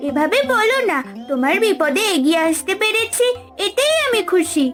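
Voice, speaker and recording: A woman's voice: very high voice, sing-song, moderate pace, soft background music. A woman voicing a kind, caring young village girl in a cartoon story, speaking earnestly. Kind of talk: cartoon voice acting.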